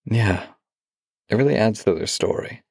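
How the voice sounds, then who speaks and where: A man with a low, gravelly, husky voice talks slowly and tenderly into a close microphone. His tone is teasing and affectionate. The sound is dry and intimate, with no room around it. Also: animated, darkish tone, dry recording. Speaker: voice actor. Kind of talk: intimate audio roleplay.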